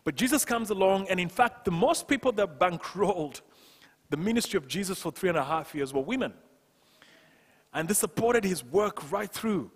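A male pastor delivers a sermon with emphatic, deliberate phrasing. His voice sits a little high and has a husky grain. It rings with long reverb in a church hall, picked up by a headset microphone. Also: expressive. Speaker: pastor, preacher. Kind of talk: sermon to a congregation. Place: church stage, indoors.

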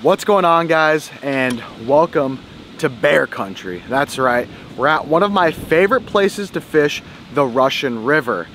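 Upbeat guy in his 30s vlogging outside, camera held at arm's length, kinda high voice. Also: expressive, a little slow, fairly bright tone, a little hiss or hum. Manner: upbeat, addresses viewers as guys. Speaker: fishing vlog host. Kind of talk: casual vlog talk to camera. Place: outdoor parking lot by a river trail. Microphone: handheld camera at arm's length.

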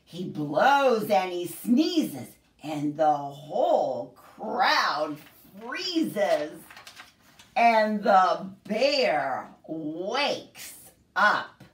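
A middle-aged woman hosts a children's story time with a very animated, sing-song delivery. Her voice sits a little low and moves at an unhurried pace. It is recorded in an echoey room, some distance from the microphone. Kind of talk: expressive picture-book read-aloud for young children. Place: small home room, indoors. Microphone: camera a few feet away. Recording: roomy echo, distant camera microphone.

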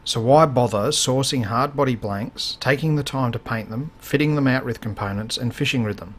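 A man's voice: mid-range voice, moderate pace. A man in his thirties with an Australian accent, hosting a fishing channel in casual how-to vlog talk, plain-spoken with frequent fillers, filmed in his home workshop.

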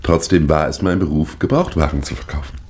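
A man's voice: very deep voice, fairly quick, darkish tone. A man playing a mild, maddeningly literal schoolteacher in a 1960s German radio crime play, courteous and hair-splitting. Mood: unruffled, literal.

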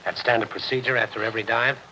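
A man's voice: medium pitch, steady tone, racing, pushed and bright, close to an everyday voice. A middle-aged American man playing a hard-boiled newspaper reporter in a 1950s TV drama, terse, blunt and sardonic. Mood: probing. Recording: noisy old 1950s television soundtrack.

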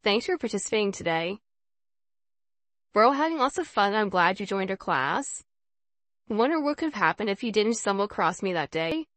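A woman's voice: medium pitch, very animated, moderate pace, a bit bright, dry recording. A woman voicing a friendly, encouraging schoolgirl who leads a chess class in a visual novel, in an instructive manner. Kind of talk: reading visual novel dialogue aloud.

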